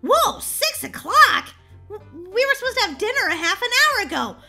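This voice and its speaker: Excitable, entitled young girl for game roleplay, played by a woman; high, animated, dramatic. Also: fast talker, faint music behind, exaggerated voice. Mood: casual, puzzled. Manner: exaggerated, dramatic. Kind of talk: children's game roleplay acting.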